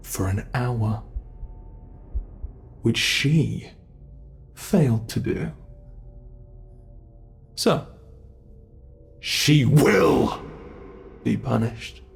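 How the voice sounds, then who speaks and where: A man playing a sadistic, gloating monster from under the bed in an ASMR horror roleplay, taunting and savoring every word with a gravelly voice. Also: lowish voice, darkish tone, heavily acted.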